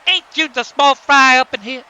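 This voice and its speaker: A man's voice: extremely high pitch, normal speed, bright, forceful tone, clean tone, exaggerated voice. A man voicing a cocky purple grizzly pimp wrestler who swaggers and taunts in cartoon trash talk. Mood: cocky taunting.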